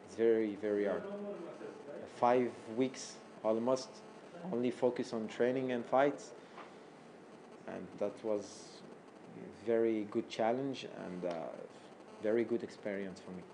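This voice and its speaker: Man from France, native French speaker, interviewee; hesitant with many fillers, warm dark tone. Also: fairly low pitch, steady tone, a little hiss or hum, rough recording. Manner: halting, many fillers and restarts. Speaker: fighter being interviewed. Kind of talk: interview answers in second language.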